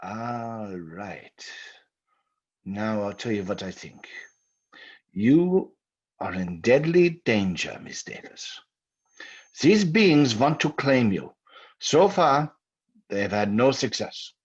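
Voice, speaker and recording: An actor playing a stern, authoritative doctor of the supernatural, grave and deliberate, in an old-time radio drama. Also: male voice, on the low side, expressive, breathy edge, heavily acted. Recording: muffled old radio recording.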